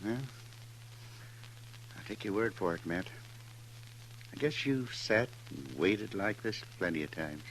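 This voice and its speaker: A man's voice: deep voice, lively intonation, a little slow, pauses often, a bit bright, heavily acted. An actor playing an anxious, plain-spoken American frontier man in a 1950s radio western, folksy and full of questions. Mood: uneasy, doubtful. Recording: noisy 1950s radio recording.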